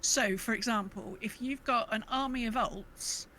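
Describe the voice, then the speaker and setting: A woman's voice: a little high, unhurried, a bit bright, slight background noise, low-quality recording. A woman co-hosting a podcast in a casual, chatty way. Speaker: podcast co-host. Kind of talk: casual gaming podcast chat.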